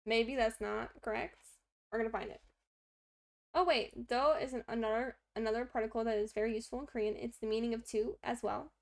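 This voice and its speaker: A young woman streaming her Korean study, explaining grammar in a chatty, self-deprecating way with lots of fillers. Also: gravelly. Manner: talks to a pet in a high baby voice.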